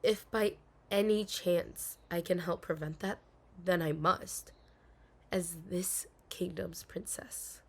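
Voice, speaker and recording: Woman acting an anxious, slow-spoken young princess. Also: lowish voice, creaky, slightly rough, exaggerated voice. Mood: resigned, guarded. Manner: formal storybook diction, hesitant, sighing. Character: anxious, dutiful young princess hiding her longing. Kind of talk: intimate audio roleplay acting.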